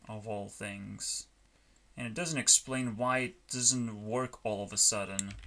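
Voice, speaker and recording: Guy rambling on stream, real slow n halting, musing to himself, bit of noise and keyboard clicking behind. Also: fairly low pitch, vocal fry, pushed and bright. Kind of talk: rambling casual chat while coding.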